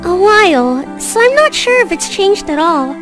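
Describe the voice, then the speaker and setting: Very high-pitched shy schoolgirl. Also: very animated, moderate pace. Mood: gentle. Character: shy, sweet, polite teenage schoolgirl in an anime game. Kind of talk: visual novel voice acting. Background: game music.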